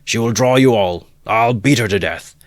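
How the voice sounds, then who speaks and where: A man voicing a brutal, drunken young male peasant who bellows and bullies in a raised, much higher voice than his own narration. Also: normal speed, dry recording, clean studio sound, natural voice. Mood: drunken bellowing. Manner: loud bullying shouts.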